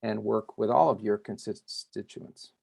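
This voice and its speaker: A man's voice: on the low side, fairly even intonation, unhurried, vocal fry, darkish tone. A man interviewing a candidate in a loose, casual way, often tagging "right?" and "yeah" onto his questions.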